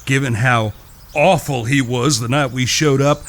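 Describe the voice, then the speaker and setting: Casting: male actor as calm, courteous American cowboy, medium pitch, measured and folksy, for Western radio play. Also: slight background noise, lightly acted. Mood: respectful. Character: earnest, courteous cowboy, calm peacemaking brother. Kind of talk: Western audio drama acting.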